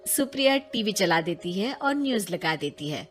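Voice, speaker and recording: A woman's voice: mid-range voice, sing-song, normal speed, faint music behind. A woman storyteller reading scripted narration for a cartoon tale.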